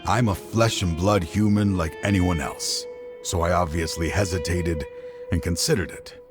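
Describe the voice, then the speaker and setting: An actor playing a dying older mentor who speaks in a slow, solemn, remorseful way. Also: male voice, low-pitched, fairly even intonation, music in the background, close to an everyday voice. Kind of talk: game cutscene voice acting. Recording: game score playing under voice.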